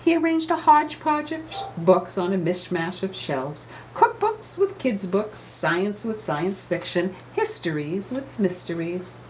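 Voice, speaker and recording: A woman reading a children's storybook aloud with expressive, dramatic emphasis, as an author telling her own tale. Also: medium pitch, unhurried, few pauses, a little hiss or hum, poor audio.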